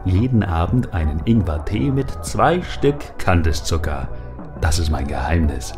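A man as a courteous, worn-out ork fixer in a radio play. His low voice is calm and measured, with music clearly behind it. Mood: good-humoured. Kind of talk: German audio drama acting.

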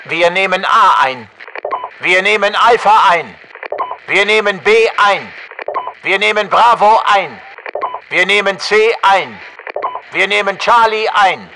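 A soldier on a noisy radio, calling out warnings for a military video game. The voice is pitched very high for a man, with measured, slow delivery. Each report is clipped and alert. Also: lively intonation, heavily acted.

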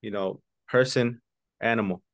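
A man teaching an online English lesson, switching between English and Spanish and repeating key words slowly for his students. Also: fairly low pitch, fairly even intonation, no room sound, clean studio sound. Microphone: video call webcam.

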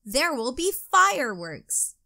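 A woman voicing a cheerful, excitable little girl in a children's storybook, animated and exclaiming. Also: high-pitched, slow, creaky, voice pushed up, full character voice. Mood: excited.

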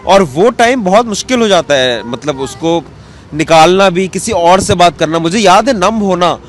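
A man's voice: sits high, animated, moderate pace. A man, giving an earnest, reflective interview answer mixed with English words. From western Uttar Pradesh, India. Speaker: interview guest, cartoonist. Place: outdoor bench in a public square. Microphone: handheld interview mic held toward him. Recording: outdoor street ambience with background noise.

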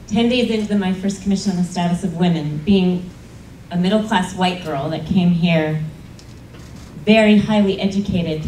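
A woman speaks to a conference audience in an earnest, storytelling way, pressing hard on key points. Her voice sits a little low. The sound is roomy and distant, with a faint hum of the hall behind her. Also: mellow. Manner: earnest, storytelling, emphatic at times. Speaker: panel speaker. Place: conference room.

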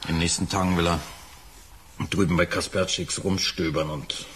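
Radio crime drama: a cornered, bitter suspect, deep register, slight noise on the recording. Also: male voice, steady tone, moderate pace, fairly bright tone. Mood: uneasy, confiding. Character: troubled married working man under murder suspicion, defensive and bitter.

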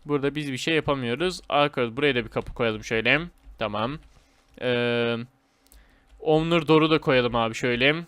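Smooth-voiced man doing casual gameplay commentary. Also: mid-range voice, normal speed, fairly bright tone, dry recording. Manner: slangy, repetitive, addresses viewers as friends. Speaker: gaming video host.

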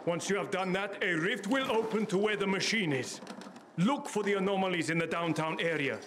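An actor playing a gruff older male inventor who gives blunt, clipped orders in a video game cutscene. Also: high-pitched, a little fast, fairly bright tone. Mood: commanding. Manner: blunt, commanding, short clipped sentences. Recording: game audio with background noise.

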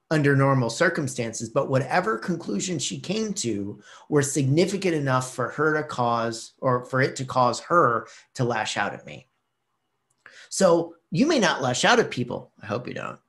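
A middle-aged man hosting his own video channel, telling a personal story to the camera with lively gestures and acted-out quotes, recorded in a small room at home on a webcam. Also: medium pitch. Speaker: vlog host.

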